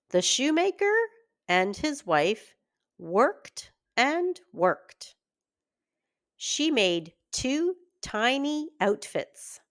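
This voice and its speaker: A woman reading a children's fairy tale aloud in an expressive, deliberate storytelling manner. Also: fairly high pitch. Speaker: children's story reader, teacher.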